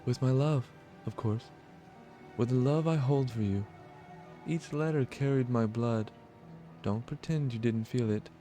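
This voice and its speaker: A man's voice: mid-range voice, measured pace, long pauses, warm tone, soft background music, close to an everyday voice. A man reading the part of an eerie, reproachful wife returned from death in a visual novel, with solemn poetic delivery. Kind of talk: visual novel dialogue read aloud.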